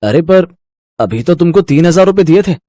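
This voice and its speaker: A man's voice: a little high, animated, normal speed, mellow, dry recording, natural voice. Young working husband, acted by a man, practical everyday cartoon delivery, very dry studio-close sound. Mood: exasperated. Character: young salaried husband, practical and exasperated about money.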